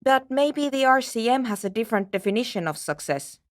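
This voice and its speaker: A woman's voice: on the high side, lively intonation, a little fast, no echo, close to an everyday voice. A woman playing a frank, pragmatic female engineer in a video game, speaking in a dry, matter-of-fact and precise way. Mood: reserved, grudging. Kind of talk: scripted video game character dialogue.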